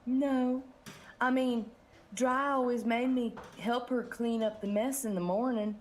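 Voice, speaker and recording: A woman's voice: on the high side, steady tone, unhurried, slight background noise, close to an everyday voice. A woman playing a flirty, ditzy, put-upon bakery bookkeeper in a comedic audio drama, coy in manner.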